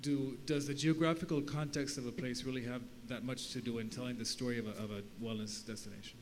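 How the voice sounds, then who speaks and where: A man's voice: medium pitch, steady tone, fast. A man from Guatemala putting a spontaneous question to a conference panel from the audience. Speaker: audience member asking a question. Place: conference audience, handed microphone. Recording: faint hum and background noise.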